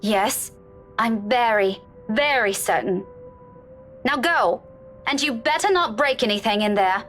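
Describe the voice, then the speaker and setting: Casting: stern young woman, high voice, bossy yet shaken, horror visual novel, music underneath. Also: expressive, unhurried, a bit bright. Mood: firm, scolding.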